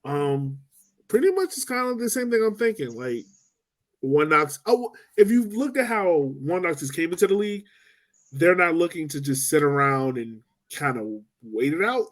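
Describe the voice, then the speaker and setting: A man's voice: a little high, expressive, moderate pace. A man co-hosting a sports podcast, talking loosely with lots of "um" and "like". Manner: loose and chatty, lots of "um" and "like", laughs easily. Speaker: podcast co-host. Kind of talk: casual sports chat.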